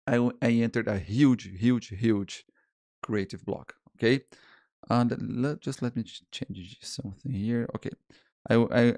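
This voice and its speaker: Halting non-native man in his forties. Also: medium pitch, a little mellow, dry recording, studio quality. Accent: Portuguese-speaking. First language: Portuguese. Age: 40s. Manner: halting, many restarts and repeated words, frequent "okay?" and "guys". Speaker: freelance illustrator and podcast host. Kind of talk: casual solo talk to camera.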